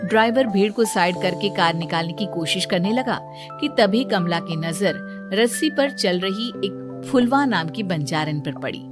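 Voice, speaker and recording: A woman's voice: medium pitch, animated, measured pace. A woman storyteller reading scripted narration of an emotional family story. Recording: music behind the voice.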